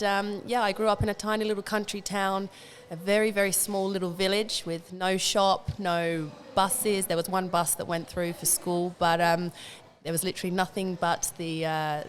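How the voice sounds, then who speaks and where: A woman's voice: mid-range voice, fairly even intonation, normal speed, slight background noise. A woman in her thirties from England with an English accent, chatting upbeat as an interview guest. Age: 30s. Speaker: guest, boxer. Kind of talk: casual interview chat.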